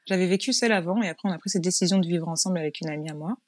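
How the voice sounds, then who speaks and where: A woman's voice: lowish voice, very fast, a little mellow, no echo. Chatty Frenchwoman telling her story. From France. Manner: chatty, frank storytelling with frequent restarts. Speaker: guest, business owner. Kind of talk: casual interview conversation.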